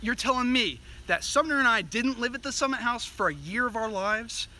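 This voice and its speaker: A man's voice: extremely high pitch, animated, fairly quick, pushed and bright, exaggerated voice. A man playing a frantic, bossy young guy who pleads insistently and profanely with his friends in an amateur comedy skit. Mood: incredulous, accusing. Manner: urgent, insistent, profane, repeats himself.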